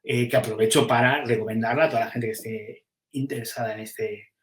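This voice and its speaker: A man's voice: medium pitch, steady tone, a little fast, reverberant. A man, a comics publisher, chatting casually on a podcast and thinking aloud as he weighs which titles to publish, with frequent restarts.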